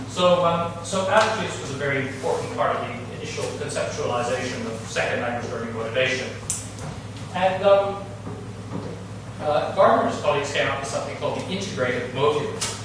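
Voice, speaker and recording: A middle-aged man from Canada giving an academic lecture, halting with many fillers and restarts. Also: medium pitch, recorded from a distance. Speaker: guest lecturer. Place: large lecture hall. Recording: echoey hall recorded from afar, background noise.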